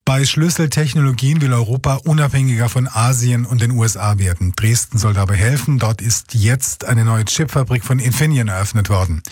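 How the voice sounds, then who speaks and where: Husky male newsreader, dry studio sound. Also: medium pitch, fairly quick, few pauses, darkish tone, no room sound. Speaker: news anchor. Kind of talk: scripted radio news reading. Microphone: close studio microphone.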